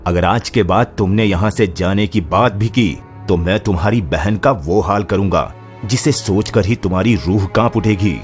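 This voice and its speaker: Male actor as cold captor husband, low voice, menacing, commanding, vengeful, romance audio drama, music behind. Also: close to an everyday voice. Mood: possessive, menacing. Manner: slow threatening, commanding delivery.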